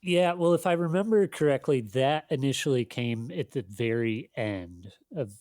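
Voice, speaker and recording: A man speaking casually as an editor being interviewed, in a thoughtful, halting, self-deprecating way. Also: medium pitch, a little mellow, dry recording, clean studio sound. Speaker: magazine editor, interview guest.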